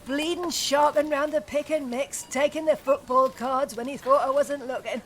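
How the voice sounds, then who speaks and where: Woman playing a nosy chatty essex checkout lady in her 50s, bit high-pitched, light hiss. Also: fairly even intonation, normal speed, a bit bright. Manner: gossipy, chatty. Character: chatty middle-aged shop cashier Beryl, nosy and friendly. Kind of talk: audio drama acting.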